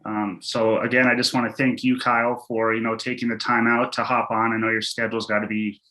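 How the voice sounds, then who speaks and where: A man's voice: mid-range voice, level tone, a little fast, bright, forceful tone, low-quality recording. A young man in his twenties hosting an interview podcast, asking questions in a friendly way with hesitant fillers. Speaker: podcast host. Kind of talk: interview host.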